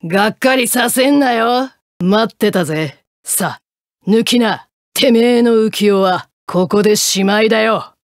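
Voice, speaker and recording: A brash one-eyed swordswoman from a fighting game, acted by a woman. Her voice sits fairly high, yet she talks in rough, mannish, taunting phrases, hungry for a fight. The recording is close and dry. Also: unhurried, no echo, lightly acted. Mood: cocky, menacing. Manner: coarse masculine speech, taunting. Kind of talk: Japanese fighting game voice acting.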